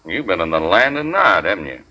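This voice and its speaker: A man's voice: low-pitched, very animated, fairly quick, sharp tone, exaggerated voice, low-quality recording. An actor playing a smug, needling policeman with slangy sarcasm in a 1940s radio crime drama. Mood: mocking. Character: smug, taunting tough city policeman. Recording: vintage 1940s radio broadcast.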